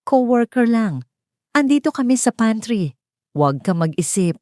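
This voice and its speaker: A woman's voice: fairly high pitch, big pitch swings, moderate pace, dark tone, no room sound, studio quality, voice pushed up. A woman voicing an evasive, tired husband with a curt, irritated manner in a narrated drama. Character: evasive, weary husband working abroad.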